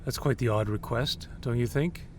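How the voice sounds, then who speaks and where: An actor playing a cold male superior, calmly and accusingly questioning a subordinate. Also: mid-range voice, a little hiss or hum, close to an everyday voice. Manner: measured, accusatory. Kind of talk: audio drama acting.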